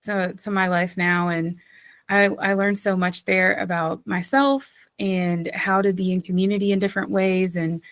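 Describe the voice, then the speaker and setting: Woman pastor from southeastern North Carolina, a podcast guest; easy storyteller who laughs often, mid-pitched voice, dry close sound. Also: normal speed, no room sound. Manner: chatty, laughs often, many fillers. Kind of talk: casual interview storytelling.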